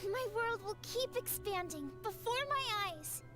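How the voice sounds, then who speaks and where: A woman's voice: extremely high pitch, animated, measured pace. A woman voicing an eager young girl who loves running, talking in an earnest, heartfelt way over game music.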